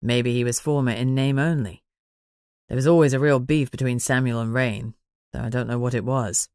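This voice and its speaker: A woman voicing a calm, teasing man in an audiobook, measured and matter-of-fact. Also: a bass voice, dry recording, studio quality, pitched below the performer's own voice. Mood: measured, thoughtful. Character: calm teasing man, werewolf packmate and investigator.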